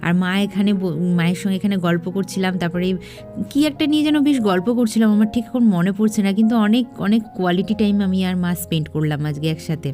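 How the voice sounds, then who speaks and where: A woman's voice: mid-range voice, expressive, fast, dark tone, faint music behind. A young woman with a West Bengali accent narrates a casual daily vlog, talking to viewers in a chatty, familiar way and mixing in English words. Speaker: vlogger.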